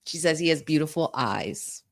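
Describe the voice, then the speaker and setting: A woman co-hosting a wrestling watch-along, talking in an excitable, chatty fan style with lots of "like". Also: sits low, moderate pace, gravelly. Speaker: podcast co-host. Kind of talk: casual watch-along commentary.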